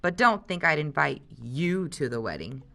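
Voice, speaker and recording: A woman's voice: sits low, big pitch swings, moderate pace, gravelly, a bit bright, heavily acted. Woman reading as a wry twelve-year-old boy. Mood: feigned nonchalance. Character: twelve-year-old boy, sardonic, muttering younger brother.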